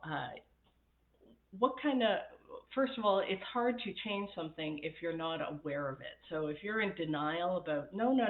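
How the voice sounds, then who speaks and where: A woman coaching on a live stream, chatty and laughing often, her voice raspy from a recent illness. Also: on the low side. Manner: chatty, laughs often, reads viewer comments. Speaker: happiness coach. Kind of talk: casual live-stream talk. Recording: tinny phone-like sound in an echoey room.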